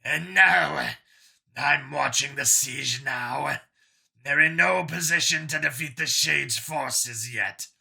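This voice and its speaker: A man voicing an irate, contemptuous dragon overlord in an audiobook, giving orders slowly and imperiously. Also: medium pitch, creaky, sharp tone, breathy edge, heavily acted. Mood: commanding. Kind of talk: audiobook character voice.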